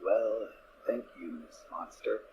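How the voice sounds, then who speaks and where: A man's voice: lowish voice, slow, lo-fi sound, background noise. A man playing a laid-back ghoul pony guide with a dry manner in an amateur audio drama. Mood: dry, polite.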